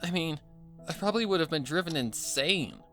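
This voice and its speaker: A narrator voicing a cheerful, grateful young female pony with a soft, sincere manner in a story reading. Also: mid-range voice, animated, moderate pace, faint music behind, pitched above the performer's own voice. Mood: happy, chatty.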